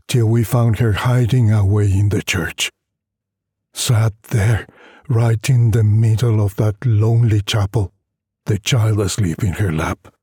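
A man narrating a literary story in a measured, solemn way, with a husky, breathy voice. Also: on the low side, dark tone, no room sound, studio quality. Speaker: audiobook narrator.

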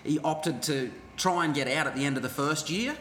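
A man's voice: a little high, moderate pace, fairly bright tone. An Australian man co-hosting a sports podcast with casual banter, phlegmy from a cold. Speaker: podcast co-host. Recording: roomy echo, faint background noise.

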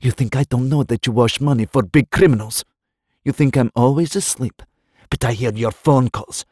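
A man's voice: mid-range voice, normal speed, darkish tone, no echo, studio quality, voice pushed up, lightly acted. A man voicing a heartbroken young woman who hisses her anger through tears. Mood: furious. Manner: hissing, tearful rage.

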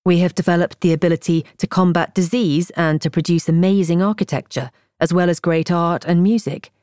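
Low-pitched woman narrating an audiobook. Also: fairly even intonation, darkish tone, smooth, dry recording, studio quality. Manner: measured, articulate reading. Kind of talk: scripted nonfiction audiobook narration.

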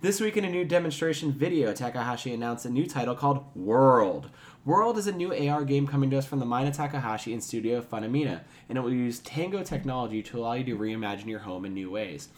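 A man's voice: mid-range voice, expressive, fast talker. A man co-hosting a podcast, moving between casual banter and news items. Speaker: podcast co-host.

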